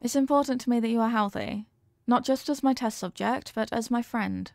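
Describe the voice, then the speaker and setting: A woman acts out an earnest young female scientist in an audio roleplay, fond of the test subject she addresses. Her voice sits a little high, and she words everything formally and precisely, like a clinician. The sound is very dry, with no room on it. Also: fairly quick, creaky, darkish tone, no room sound. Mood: caring. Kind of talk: scripted roleplay addressed to the listener.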